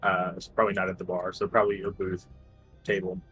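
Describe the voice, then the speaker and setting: A man's voice: low-pitched, monotone, fast, a bit bright, faint music behind, poor audio. A man talking casually as a tabletop game player, hesitantly thinking aloud about his moves.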